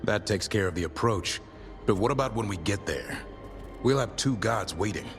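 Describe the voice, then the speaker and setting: A man's voice: on the low side, lively intonation, moderate pace, over music, lightly acted. A man playing a sober, hard-headed fighter in a fantasy video game. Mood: wary. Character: sober, hard-headed male fighter questioning the battle plan.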